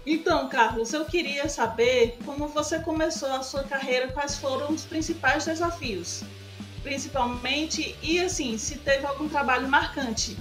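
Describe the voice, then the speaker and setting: High-voiced woman in an echoey room. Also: music in the background. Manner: brisk, asks the questions. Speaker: film and series reviewer, co-host. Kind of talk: casual podcast chat.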